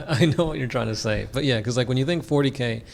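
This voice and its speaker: A man co-hosting a casual podcast about a tabletop war game, answering fan questions in a relaxed way, full of "like" fillers and quick laughs. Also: mid-range voice, creaky, a little hiss or hum. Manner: laid-back, many "like" fillers, laughs often. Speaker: podcast co-host.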